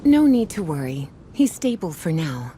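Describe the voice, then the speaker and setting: A woman playing a calm, reassuring caretaker of the wounded in an animated video game. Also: low voice, normal speed, background noise.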